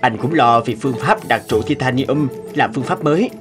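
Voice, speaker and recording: A man's voice: medium pitch, lively intonation, a little fast, over music, close to an everyday voice. A man voicing a mild, caring married doctor in a cartoon, explaining things conversationally. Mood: casual, concerned. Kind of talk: Vietnamese cartoon dubbing.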